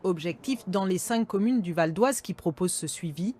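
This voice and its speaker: A woman's voice: lowish voice, expressive, moderate pace, mellow, a little hiss or hum. A woman reporter reading scripted narration for a television news report.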